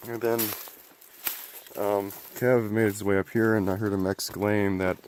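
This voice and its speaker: A man with a cold and a hoarse voice, narrating casually as he hikes through a remote mountain forest. He rambles, thinks aloud and clears his throat often. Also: fairly low pitch, steady tone, slow, a little mellow, a little hiss or hum, low-quality recording. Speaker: outdoor explorer filming a vlog. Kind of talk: casual narration while hiking.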